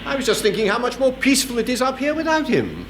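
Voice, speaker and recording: A vague, flippant naval officer in radio sitcom style. The voice is high for a man and swings playfully with dry mockery. A faint hiss of an old recording sits behind it. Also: wildly swooping pitch, fast talker, heavily acted. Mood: dryly amused. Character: languid, flippant upper-class British naval officer. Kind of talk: British radio sitcom acting.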